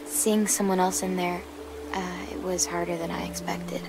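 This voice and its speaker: A woman's voice: on the low side, flat delivery, moderate pace, lightly acted. A woman playing a guarded young survivor in a game drama, understated and awkwardly sincere. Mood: awkward, wistful. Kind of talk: naturalistic video game drama acting. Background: music.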